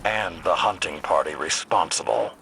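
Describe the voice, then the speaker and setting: A man's voice: extremely low pitch, lively intonation, moderate pace, creaky, pushed and bright, a little hiss or hum, heavily acted, rough recording. A man playing a stern, unyielding veteran warlord in a game, giving grave, commanding mission briefings. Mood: urgent. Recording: game music behind.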